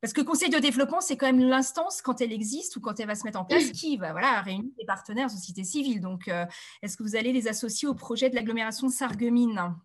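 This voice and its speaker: Female, fairly high voice, meeting moderator, conversational, loosely phrased. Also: fast talker. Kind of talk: informal moderating of an online meeting.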